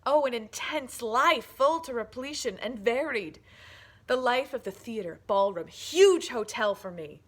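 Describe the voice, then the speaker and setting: A woman's voice: a little high, expressive, unhurried, fairly bright tone. A woman giving a declamatory, emphatic dramatic reading of poetry.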